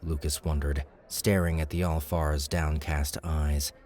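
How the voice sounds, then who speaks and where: A man's voice: very deep voice, level tone, measured pace, darkish tone. A man narrating a fantasy audiobook as a scripted read.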